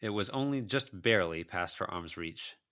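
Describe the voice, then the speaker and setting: A man's voice: deep voice, expressive, normal speed, pushed and bright. A man narrating a fiction audiobook from a written text. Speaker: audiobook narrator, volunteer reader.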